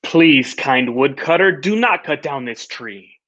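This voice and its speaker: A man voicing a kind, gentle fairy of the forest in a storybook read-aloud, speaking in formal, pleading phrases. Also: mid-range voice, expressive, measured pace. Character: kind fairy in an old oak tree, granting wishes. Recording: dull lo-fi recording.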